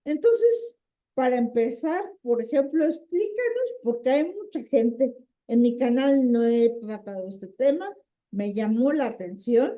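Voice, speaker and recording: Slow, high-voiced Mexican woman interviewer. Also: animated, dark tone. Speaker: interviewer, channel host. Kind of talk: informal interview.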